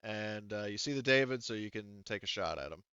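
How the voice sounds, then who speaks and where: A man's voice: fairly low pitch, expressive, a little fast, sharp tone, clear, resonant tone, no echo, muffled recording. A man casually improvising narration as game master of a tabletop game, rambling and joking as he goes.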